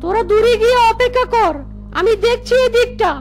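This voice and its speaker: A woman's voice: very high voice, brisk. A woman voicing a bossy male mystic holy man in a comic cartoon, with a commanding, chanting delivery. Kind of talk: Bangla comic cartoon voice acting. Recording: music behind.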